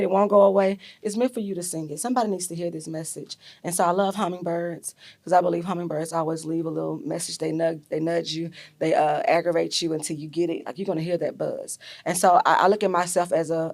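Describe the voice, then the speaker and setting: A young adult woman with a raspy, deep voice, telling stories as a guest in a casual interview, with many fillers. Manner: animated storyteller, many fillers. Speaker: podcast guest, artist and educator.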